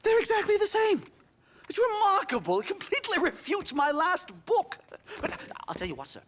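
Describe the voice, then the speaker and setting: A middle-aged actor playing an eccentric, nervous anthropology professor who stammers, fusses and bursts into excited lecturing in a game cutscene. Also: male voice, very high voice, normal speed, slight background noise, heavily acted. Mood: eager lecturing. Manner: stammering, fussy, giddy bursts. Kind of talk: video game cutscene acting.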